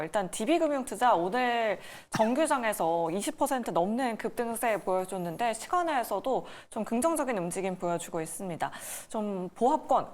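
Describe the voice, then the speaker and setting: Female host for a live market show, animated intonation, interviewing guest analysts. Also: mid-range voice.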